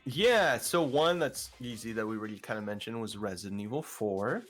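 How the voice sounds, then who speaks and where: A man with a deeper voice, guesting on an interview and talking in a casual, rambling way with many restarts. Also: lively intonation, a little fast, fairly bright tone, soft background music. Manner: rambling, filler-heavy, frequent restarts. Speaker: vtuber guest.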